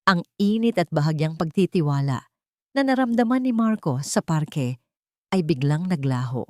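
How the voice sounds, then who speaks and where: Female story narrator, lowish pitch, scripted dramatic delivery, very dry sound. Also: sing-song, moderate pace, no room sound.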